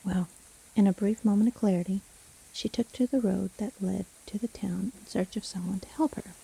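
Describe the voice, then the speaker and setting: Dark-voiced coaxing forest witch, acted by a woman. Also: medium pitch, fairly even intonation, moderate pace, vocal fry, breathy edge, poor audio. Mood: hushed storytelling. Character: seemingly kindly solitary forest witch, coaxing and sly. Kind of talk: fantasy audio roleplay storytelling. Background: rain storm ambience.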